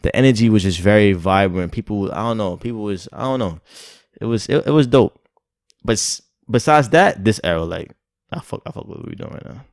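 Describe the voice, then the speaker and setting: A man's voice: fairly low pitch, brisk, no room sound, studio quality. A young man co-hosting a podcast in casual chat, laid-back and hesitant, with many fillers. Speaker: podcast co-host.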